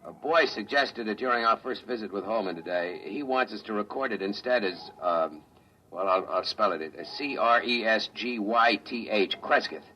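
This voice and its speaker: An actor playing a careful, deferential space scout reporting to a superior in 1950s radio drama style. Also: male voice, fairly low pitch, animated, normal speed, natural voice. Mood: reporting, tentative. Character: dutiful male space scout, careful, deferential junior officer. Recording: muffled, noisy vintage 1950s radio recording.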